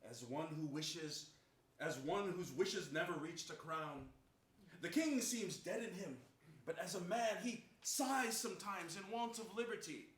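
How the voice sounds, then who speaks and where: A man's voice: sits high, expressive, unhurried, a bit bright. A middle-aged man playing a dutiful, sympathetic prison lieutenant, formally declaiming Shakespearean verse in a staged reading. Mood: sympathetic, solemn. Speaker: stage actor. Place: large indoor theater stage before an audience. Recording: echoey theater stage recording.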